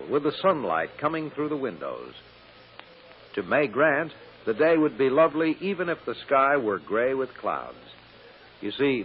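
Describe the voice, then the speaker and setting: An actor narrating a radio drama as an intimate, scene-setting storyteller. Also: male voice, mid-range voice, lightly acted. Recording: dull old radio recording.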